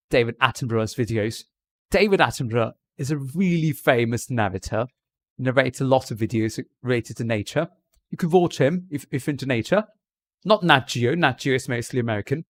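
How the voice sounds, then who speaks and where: A man doing a put-on British accent, chatting on a live stream from India and giving rambling, jokey tips. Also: mid-range voice.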